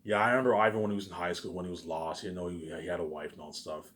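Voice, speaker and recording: A man delivering a rambling, profane, boastful monologue to online viewers, circling back and repeating his phrases, with sudden louder bursts. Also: low voice, steady tone, racing. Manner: repetitive, restarting phrases over and over.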